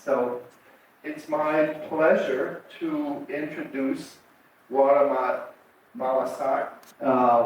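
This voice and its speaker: Halting male host, echoey and distant. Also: medium pitch, lo-fi sound, a little hiss or hum. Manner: halting, repeats words. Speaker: event host. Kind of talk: introducing a speaker. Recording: roomy echo from far off.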